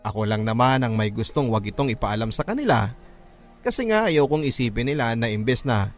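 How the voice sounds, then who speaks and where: A man's voice: medium pitch, expressive, a little fast. A man with a Filipino accent reads a first-person story in an emotive, earnest, classic radio drama narration style. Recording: narration over background music. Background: background music.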